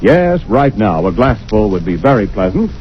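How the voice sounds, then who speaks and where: A man's voice: on the low side, sing-song, moderate pace, a little hiss or hum. A man working as a radio announcer, introducing a thriller and selling the sponsor in dramatic 1940s style. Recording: lo-fi 1940s radio broadcast with music.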